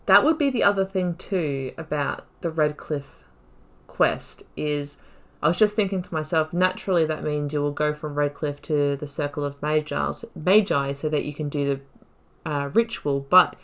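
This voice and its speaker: A woman's voice: low-pitched, moderate pace. A woman giving casual, rambling and reflective game commentary as she thinks aloud through a playthrough. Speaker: gaming video host.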